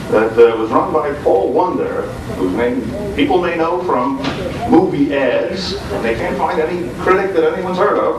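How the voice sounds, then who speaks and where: A middle-aged man, a radio show host, telling an anecdote conversationally on a panel. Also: medium pitch. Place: convention room before an audience. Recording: roomy, distant, noisy informal video recording.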